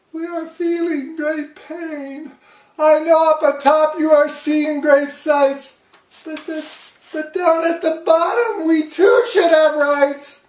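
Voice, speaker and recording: A man's voice: very high voice, echoey room, recorded from a distance, pitched above the performer's own voice. A man voicing a meek little storybook turtle who pleads politely and plaintively. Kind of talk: theatrical children's storybook read-aloud.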